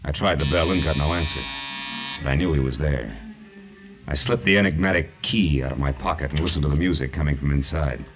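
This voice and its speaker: An actor playing a hard-boiled, wry private detective in 1940s radio noir, terse, tough and dry. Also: male voice, a bass voice, expressive, a little fast, a bit bright, faint music behind, rough recording. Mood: wry, narrating.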